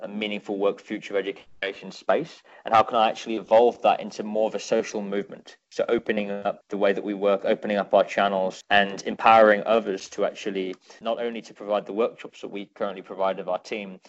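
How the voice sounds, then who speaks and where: A man's voice: on the low side, level tone, fast, hardly any pauses, muffled recording. A young British man with a British accent, answering interview questions in an articulate, earnest way. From United Kingdom. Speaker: podcast guest, innovation consultant.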